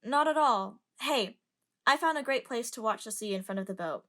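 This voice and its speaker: A woman's voice: on the high side, expressive, normal speed, bright, forceful tone. A woman in an amateur audio drama playing a curious, outspoken young passenger who chats and lectures. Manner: chatty, lecturing.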